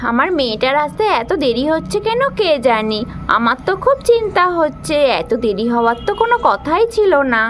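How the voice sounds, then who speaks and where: A woman's voice: sits high, very animated, a little fast, a bit bright, a little hiss or hum. A woman voicing a caring but bossy village mother in a children's doll story. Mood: worried.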